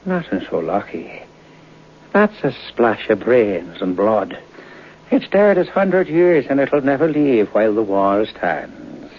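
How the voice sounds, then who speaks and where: A man's voice: medium pitch, big pitch swings, slow pace, a little hiss or hum. An actor playing a morbid, garrulous old Irish local man of seventy-nine in an old radio drama, cackling, folksy and deferential. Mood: cackling, teasing. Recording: dull lo-fi old radio recording.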